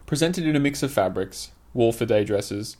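A man's voice: mid-range voice, lively intonation, normal speed, a little mellow. A man with a mid-range voice narrates an essay he wrote himself. His delivery is scripted and formal, with literary phrasing. He states his opinions plainly, as an author reading his article aloud.

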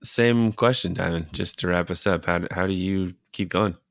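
A man's voice: sits low, normal speed, vocal fry, no room sound, clean studio sound. A man hosting a podcast interview in a casual, conversational style. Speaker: podcast host.

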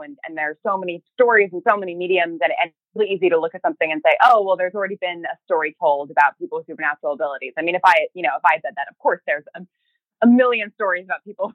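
A woman with a slightly low voice chats casually as an interview guest. She feels her way through sentences, restarting and quoting remembered lines as she goes. The sound is close and fairly dry. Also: no room sound, narrow, tinny audio. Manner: chatty, halting, acts out remembered thoughts and emails in quoted speech. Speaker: podcast guest, fiction podcast creator and writer.

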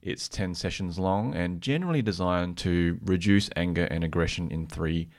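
Man, podcast co-presenter and therapist. Very deep register, measured, explanatory, conversational delivery. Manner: measured, explanatory, tentative when giving opinions.

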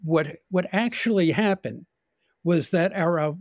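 An older man with an American accent giving opinionated, deliberate political commentary in conversation as a podcast guest. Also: sits high, no echo, studio quality.

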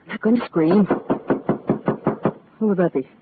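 A woman's voice: medium pitch, moderate pace, heavy background noise, close to an everyday voice. An actress playing a frightened, proud married woman with secrets in 1940s radio melodrama, tense and dramatic. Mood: defensive. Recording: muffled old radio recording.

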